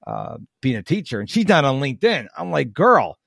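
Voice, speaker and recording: A man from the United States hosting a podcast, chatting casually and telling animated stories with asides. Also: a little high, fairly quick, creaky, breathy edge, no echo, clean studio sound. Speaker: podcast host. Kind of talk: casual interview chat.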